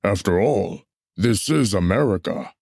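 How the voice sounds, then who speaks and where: A smug, dismissive patriotic official played by a man in a puppet show. He talks slowly with big swings of pitch. The sound is dry. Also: lively intonation, creaky, a little mellow, slightly rough, no echo, exaggerated voice. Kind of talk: theatrical puppet show acting.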